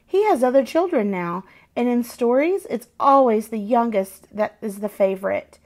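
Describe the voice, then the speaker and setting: A woman's voice: on the high side, animated, moderate pace, darkish tone. A woman voicing a plaintive, stubborn young girl in a children's storybook. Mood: wistful worry. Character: young girl, imaginative, stubborn, longing for family.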